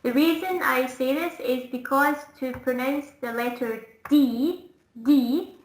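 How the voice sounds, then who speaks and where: A woman's voice: high-pitched, slow. A woman from Scotland teaching English in a one-to-one online lesson, explaining patiently and demonstrating sounds. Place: indoors, online lesson call. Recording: roomy, echoing online call audio.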